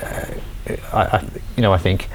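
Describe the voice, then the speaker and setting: A man's voice: moderate pace, slight background noise, poor audio. A man in casual conversation who rambles through opinions, stumbling and restarting often. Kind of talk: casual chat.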